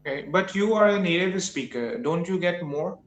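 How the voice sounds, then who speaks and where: A man who is not a native English speaker, asking a tutor questions over a video call in halting English. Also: high voice, expressive. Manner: short backchannels, halting questions. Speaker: English teacher interviewing a tutor. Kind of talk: casual video call chat. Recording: roomy echo.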